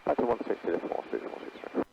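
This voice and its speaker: A man working as an airline pilot, reading back headings and speeds in clipped phrases over radio. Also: very fast. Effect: radio transmission. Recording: muffled cockpit radio, faint noise.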